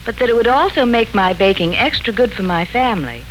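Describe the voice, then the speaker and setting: An actress playing an agreeable housewife in a scripted 1940s radio advertising testimonial. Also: female voice, fairly high pitch, animated, brisk, natural voice, rough recording. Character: agreeable housewife who bakes for her family. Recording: 1940s radio broadcast, faint noise.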